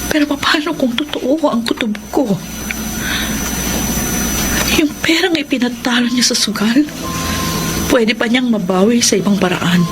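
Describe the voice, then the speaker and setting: A woman acting an anguished, devoted wife and mother in a Filipino radio drama, with an emotional, dramatic delivery. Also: sits high. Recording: loud music bed under voice.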